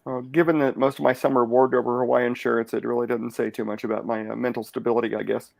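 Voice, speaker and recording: A man on a podcast call, a bit raspy, speaking haltingly with many pauses and dry humor. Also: medium pitch, flat delivery, no echo. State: slightly raspy while recovering. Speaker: podcast co-host. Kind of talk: casual livestream chat.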